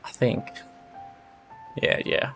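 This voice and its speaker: A man's voice: slow, fairly bright tone. A man casually commenting on a game as he plays, playfully echoing bits of it. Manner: echoes game dialogue playfully. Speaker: streamer playing and reacting to a game. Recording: game music behind close voice.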